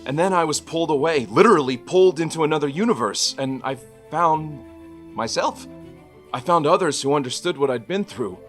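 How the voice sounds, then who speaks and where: A man's voice: fairly high pitch, expressive, brisk, a bit bright, faint music behind. An actor voicing a young male superhero, remorseful and earnest, narrating his past in a confessional monologue with dry quips. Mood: earnest, determined. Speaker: actor in a script table read. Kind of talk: dramatic first-person monologue.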